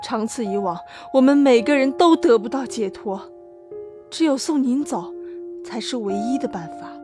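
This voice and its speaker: A woman's voice: high-pitched, lively intonation, a little fast, over music. A woman voicing an impatient, desperate daughter-in-law of thirty-eight in an audio drama, urgent and argumentative. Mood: weary, reasoning. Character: desperate, impatient 38-year-old daughter-in-law, sharp-tempered. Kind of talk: acted audio drama dialogue.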